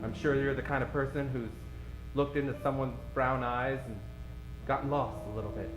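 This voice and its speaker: An actor playing an effeminate, flirtatious, lovelorn club owner in a radio detective parody, soft-spoken and hesitant. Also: male voice, mid-range voice, fairly even intonation, fairly quick, over music, lightly acted. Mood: coy, tender. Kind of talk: parody of old-time radio detective drama. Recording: roomy, distant live stage recording.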